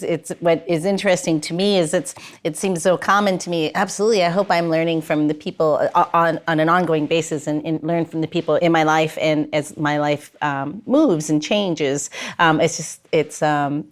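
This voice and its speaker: Deep-voiced woman, halting and reflective. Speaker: podcast co-host. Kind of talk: casual reflective conversation.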